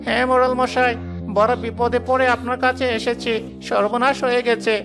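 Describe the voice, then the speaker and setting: A frightened older villager begging the headman for help, played by a woman. His voice is gravelly and flat. Music sounds clearly behind him in this cartoon. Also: fairly high pitch, brisk, close to an everyday voice. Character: elderly male villager, frightened, pleading.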